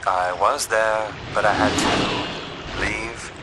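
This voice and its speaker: A man playing a calm, supportive mission partner in a video game cutscene, measured and matter-of-fact. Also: on the low side, very noisy. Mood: concerned.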